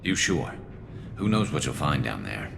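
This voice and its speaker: A man playing a battle-weary alien vigilante sniper in a video game, gravelly, with a dry, wry, understated delivery. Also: a bass voice, big pitch swings, moderate pace, full character voice. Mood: urgent. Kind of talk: video game dialogue acting. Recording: reverberant game audio under loud music.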